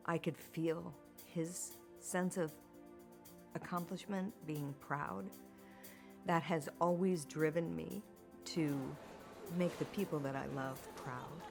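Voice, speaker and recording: A woman's voice: deep voice, slow pace, vocal fry, pauses often, faint music behind. A woman, a Paralympic skier, tells her own story in a documentary interview, speaking candidly and often breaking into laughter mid-sentence.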